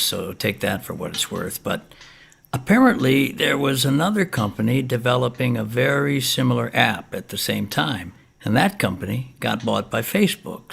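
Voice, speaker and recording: A wry, wistful man confides local gossip in an audio drama monologue. His voice is heavily fried and husky at a middle pitch, and he rambles and hedges as he goes. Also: a bit bright, full character voice.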